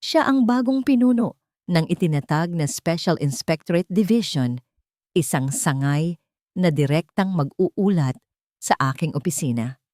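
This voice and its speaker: A woman's voice: fairly low pitch, animated, measured pace, a little mellow, no room sound, clean studio sound. A woman storyteller voicing an authoritative military general who makes formal, ceremonious announcements.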